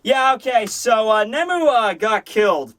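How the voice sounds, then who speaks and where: A man with a high voice hosts a casual comedic review. He rambles excitably, swears freely and keeps dropping sarcastic asides into his commentary. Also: unhurried. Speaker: host of a manga review show.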